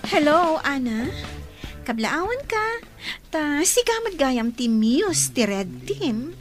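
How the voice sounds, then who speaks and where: A woman's voice: high-pitched, big pitch swings, takes it slowly, music in the background, full character voice. A woman acting a scheming, pushy young woman in a radio drama, insistent and exclamatory. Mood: sweetly ingratiating. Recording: some background noise.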